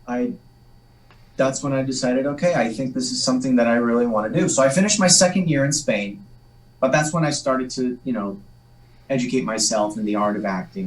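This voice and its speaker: A man, Polish by upbringing, tells long, meandering stories with dry jokes at his own expense. His voice sits at an average pitch. The sound is roomy and echoey, and the mic is some distance from his mouth. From Massachusetts, USA. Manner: rambling, self-deprecating joker. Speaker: guest, actor. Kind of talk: casual interview storytelling.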